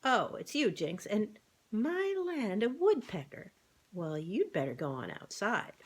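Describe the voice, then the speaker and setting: A woman voicing a homely, kindly but firm farmwife in a children's storybook, folksy and brisk. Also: mid-range voice, huge pitch swings, slightly rough, exaggerated voice. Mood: brisk, kindly scolding. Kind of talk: children's storybook read-aloud.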